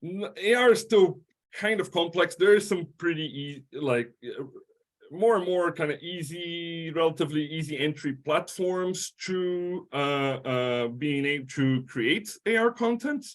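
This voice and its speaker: A middle-aged man with a Portuguese-speaking accent, a history professor giving an academic lecture, talking in a halting way with many fillers, restarts and tag questions. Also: a little high.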